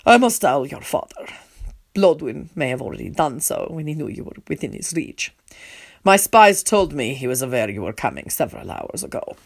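Lady doing a stern vampire prince guy, calm n formal, firm but kind, audiobook style. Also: a bass voice, moderate pace, gravelly, breathy edge, voice pushed down, full character voice. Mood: kindly, firm.

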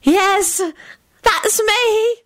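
A woman's voice: extremely high pitch, extremely slow, a bit bright, no room sound, studio quality, pitched above the performer's own voice, full character voice. A woman voicing a squirrely, flirtatious woman in her sixties in an audiobook, trilling and gushing. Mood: flirty, proud. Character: flirty, proud, squirrely sixty-ish woman.

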